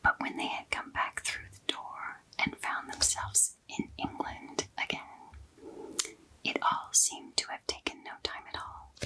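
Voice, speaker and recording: A woman reading a children's novel aloud in a soft unvoiced ASMR whisper. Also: poor audio. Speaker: storyteller.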